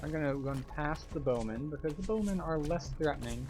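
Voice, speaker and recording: A man's voice: fairly high pitch, animated, fairly quick, background noise. A man giving live gameplay commentary as a tour guide, explaining things step by step. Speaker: game streamer and tour guide.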